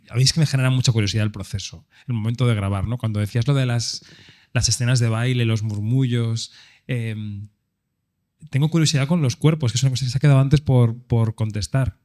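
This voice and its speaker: A man moderating a live panel, lively and joking as he prompts the audience. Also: mid-range voice, a little fast. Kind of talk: live event hosting.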